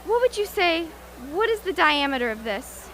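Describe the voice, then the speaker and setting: A woman playing an eager, brisk radio field reporter in a live news report from a radio play. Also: very high-pitched, sing-song, a bit bright, a little hiss or hum, full character voice. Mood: brisk, curious.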